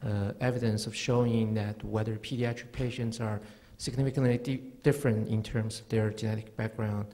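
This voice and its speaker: A man in the audience asks a question into a handheld mic in a large auditorium. His voice is mellow and warm, and his phrasing is halting and hesitant. A long reverb from the hall trails each word. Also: fairly low pitch, little pitch movement. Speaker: audience member asking a question. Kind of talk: conference Q&A question.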